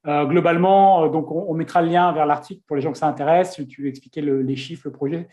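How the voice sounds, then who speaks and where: A man's voice: a little high, fairly even intonation, fast talker, a little mellow, dull audio. A man hosting a casual interview, chatty, with many fillers and restarts. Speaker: host.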